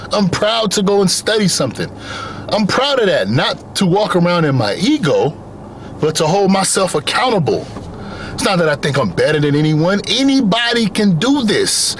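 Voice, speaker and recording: Young guy venting in a motivational vlog, bit high, voice goes up and down a lot, keeps hammering his point. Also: a bit bright, a little hiss or hum. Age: young adult. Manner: earnest, repetitive emphatic phrasing. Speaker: vlogger. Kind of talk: motivational monologue.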